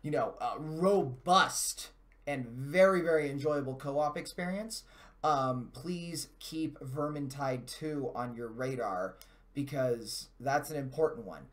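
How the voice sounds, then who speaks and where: A man's voice: mid-range voice, slow. A man hosting a live stream with casual gaming commentary, talking in a chatty, self-deprecating and mildly sweary way.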